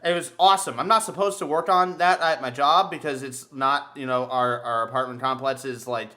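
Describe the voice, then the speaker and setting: A man's voice: mid-range voice, steady tone, moderate pace, bright, forceful tone. A young man chatting casually as a podcast host, telling comic stories in a crude, sweary, theatrical way and repeating words as he builds his point. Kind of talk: casual comedic storytelling chat.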